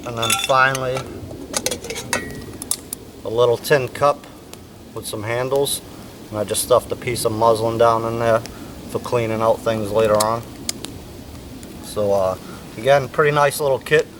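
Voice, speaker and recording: Laid-back man talking outdoors, off-mic. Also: mid-range voice. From United States. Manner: laid-back, rambling, many fillers. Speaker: bushcraft instructor. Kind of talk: casual outdoor cooking demonstration. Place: outdoors at a campfire. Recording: distant mic, faint outdoor noise.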